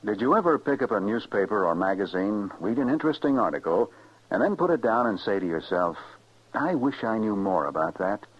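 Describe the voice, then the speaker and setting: A man with an American accent and a husky voice reading a scripted public service announcement as an old-time radio announcer. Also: lowish voice, big pitch swings, a little fast, a little hiss or hum. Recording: muffled old radio recording.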